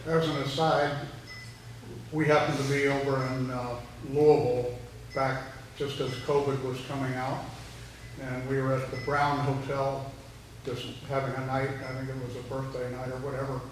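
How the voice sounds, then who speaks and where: Male audience member with a flat, even delivery, telling a story conversationally, far off in a very echoey room. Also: mid-range voice, normal speed. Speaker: audience member, Air Force veteran. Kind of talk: conversational anecdote. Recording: very echoey room, distant, faint noise.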